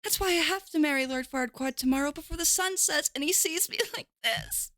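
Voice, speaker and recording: A woman voicing a young princess under a curse, speaking softly and earnestly. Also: sits high, a little fast, dry recording, studio quality. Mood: sorrowful. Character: young princess cursed to turn ogre by night.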